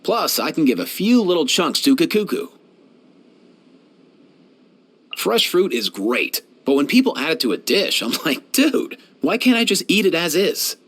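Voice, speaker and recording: Easygoing young male game vet, kind and slangy, lightish pitch, very animated. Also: moderate pace, few pauses. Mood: casual. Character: laid-back, kindly young male veterinarian. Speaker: video game character voice actor. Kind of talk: game voice lines, conversational.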